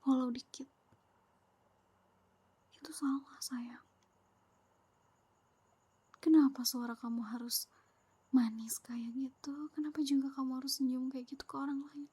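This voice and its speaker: A young woman voicing a clingy, possessive, jealous girlfriend in a whispered ASMR roleplay, soft, sweet and needy, close to the microphone. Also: high-pitched, fairly even intonation, unhurried, pauses often, breathy edge, full character voice.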